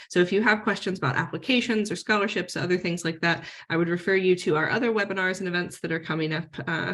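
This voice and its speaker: A woman's voice: lowish voice, fairly bright tone. A woman moderating an online university Q&A panel, friendly and organized, with occasional fillers. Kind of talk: webinar moderating.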